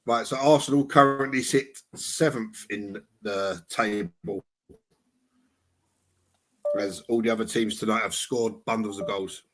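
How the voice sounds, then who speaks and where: A man commentating on a live football watchalong, briskly steering the talk and reporting the play. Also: lowish voice, a bit bright, slight background noise, rough recording.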